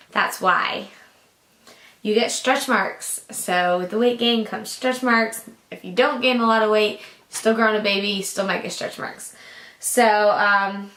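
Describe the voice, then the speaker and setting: Young woman vlogger, casual and rambling, groggy raspy voice from a lingering cough, mid pitch, echoey room. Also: fairly bright tone. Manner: chatty, rambling, lots of likes and ums. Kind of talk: casual chat to camera.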